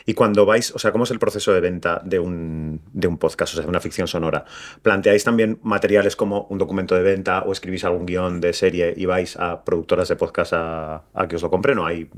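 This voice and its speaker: Man, deep register, rapid pace, conversational podcast interviewer. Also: steady tone. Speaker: podcast co-host.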